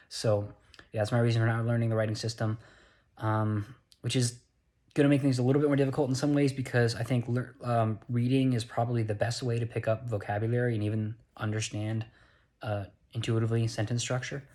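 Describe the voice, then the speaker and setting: A young man with an American accent talks into a phone he holds in his hand, sounding tired at the end of a long week. His voice sits at a middling pitch, and his delivery is earnest and loose. He often stops to correct himself as he explains his plans. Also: little pitch movement, quick pace, darkish tone. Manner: rambling, self-correcting, earnest. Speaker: vlogger. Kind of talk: casual vlog monologue. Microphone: handheld phone.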